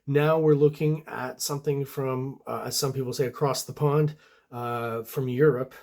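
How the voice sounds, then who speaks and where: A man's voice: mid-range voice, fairly even intonation, normal speed, a little mellow. A man from Quebec whose first language is French, talking casually as he reviews comics. He rambles a little, piling on fillers and side remarks, in an easy mid-range voice. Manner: rambling, many fillers and asides. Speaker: host and comic artist.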